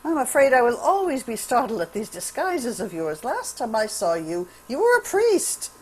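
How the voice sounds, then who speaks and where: Anxious loyal Frenchman, played by a woman, animated delivery, radio play read with slight background noise. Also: fairly high pitch, normal speed. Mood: startled. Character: anxious, loyal young Frenchman, friend of the hero. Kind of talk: table read of a radio drama. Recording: faint noisy home virtual-call recording.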